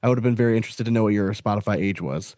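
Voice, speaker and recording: A man's voice: lowish voice, racing, darkish tone, no room sound. A man in his late thirties chatting casually as the host of a podcast. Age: late 30s. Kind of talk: casual chat.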